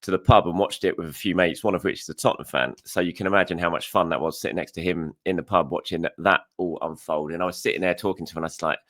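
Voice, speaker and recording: A fast-talking man with a low, croaky voice gives frank solo commentary on a football result. He speaks in a chatty, conversational way and doubles up words for emphasis. The sound is close and dry. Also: fairly even intonation, fairly bright tone, no echo. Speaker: football show host.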